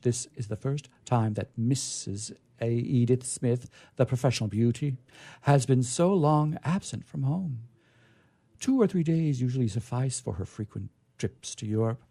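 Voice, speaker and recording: A man giving a dramatic reading of vintage science fiction, theatrical and emphatic, with drawn-out phrases and pauses. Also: mid-range voice, dark tone. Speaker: reader performing a literary reading.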